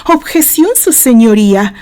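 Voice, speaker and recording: A combative woman lawyer acted by a female reader presses her case formally. Her voice is fairly high and mellow, with an insistent courtroom manner fit for a dramatized novel. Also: animated, unhurried. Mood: annoyed, insistent. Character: combative young woman prosecuting lawyer, daughter of firm owner.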